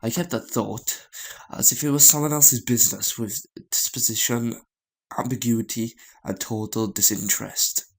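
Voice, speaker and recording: A man reading a visual novel story aloud as a gaming host, in a halting way with stumbles and repeated phrases. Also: medium pitch, steady tone, gravelly.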